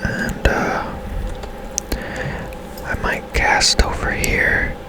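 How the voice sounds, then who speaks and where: A man speaking in a breathy, unvoiced whisper, giving casual, unhurried ASMR commentary as he plays a game. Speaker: gaming ASMR host.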